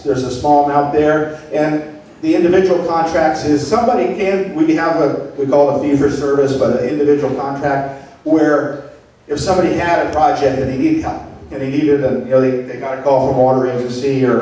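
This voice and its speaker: A man giving a halting presentation about conservation work, often repeating words. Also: mid-range voice, dark tone. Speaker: board member of a conservation district. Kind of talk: presentation to a club meeting. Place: meeting room. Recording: echoey room from far off.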